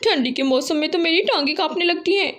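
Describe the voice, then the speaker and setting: Woman as cartoon bird husband, high voice, grumbling, complaining, affectionate. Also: fast talker, fairly bright tone. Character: complaining, affectionate male bird husband who hates cold.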